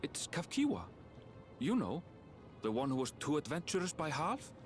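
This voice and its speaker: A woman's voice: low-pitched, big pitch swings, normal speed. A woman voicing a young woman in a game cutscene who is anxiously searching for her lost mother and talks in an earnest, pleading way. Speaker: game character. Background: game music.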